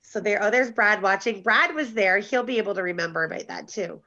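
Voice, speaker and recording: A woman's voice: medium pitch, brisk, bright, forceful tone. Chatty woman on a dull video call. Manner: chatty, laughs often. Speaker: host. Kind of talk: casual group video chat.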